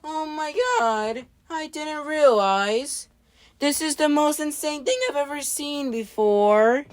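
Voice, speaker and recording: A woman voicing an excitable, gushing young fan in amateur improvised character dialogue. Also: high voice, takes it slowly, voice pushed up. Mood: overjoyed. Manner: gushing, eager.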